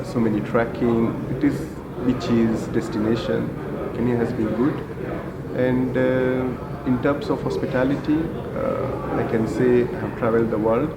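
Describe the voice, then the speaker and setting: A middle-aged man from India, a hotel group general manager, answering interview questions in an unhurried way with many fillers. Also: medium pitch, level tone, heavy background noise. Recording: echoey room with loud ambience.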